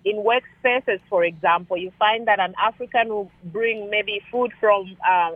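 A woman's voice: medium pitch, a little slow, no room sound. A woman calling in to a radio show over a phone line, explaining conversationally with examples. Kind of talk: conversational unscripted commentary. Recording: narrow tinny phone line.

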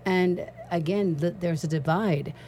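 Female panelist, very low, mellow voice, earnest, restarts phrases. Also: measured pace, a little hiss or hum. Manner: earnest, repeats words when making a point. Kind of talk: panel discussion.

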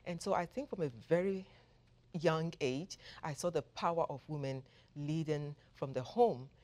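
A woman from Ghana, a professor, giving measured, thoughtful answers as an interview guest. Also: low-pitched, dark tone. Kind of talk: interview conversation.

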